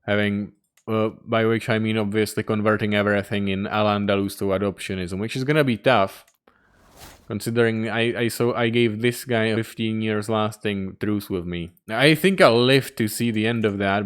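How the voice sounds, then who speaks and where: A man narrating his strategy game playthrough, his smooth, resonant voice cutting in and out of exasperated outbursts. He swears freely as he plays, keeping up a running commentary on every setback. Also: fairly low pitch, normal speed. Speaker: gaming YouTuber.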